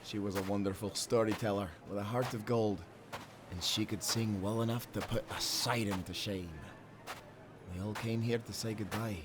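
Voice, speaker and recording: A man's voice: on the low side, steady tone, normal speed, natural voice. Man acting a grieving old Scottish sailor, ambient noise. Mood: somber, gentle. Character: grieving, tender old sailor, widowed grandfather. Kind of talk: audio drama acting.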